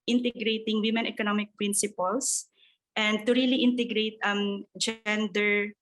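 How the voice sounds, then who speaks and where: Young filipino woman on a video call, earnest, mid pitch, throws in tagalog words. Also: fairly bright tone, low-quality recording. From Philippines. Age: young adult. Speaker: speaker from an agriculture organization. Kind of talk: webinar presentation answer.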